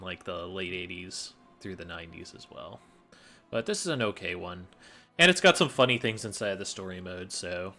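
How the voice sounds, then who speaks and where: A man's voice: deep voice, sharp tone. A man giving a casual gameplay commentary as a speedrunner explaining his play.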